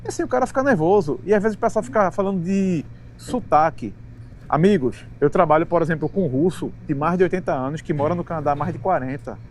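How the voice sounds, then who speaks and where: A Brazilian man on a sports talk panel, chatting casually with slangy words and halting restarts. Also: on the high side, a little hiss or hum.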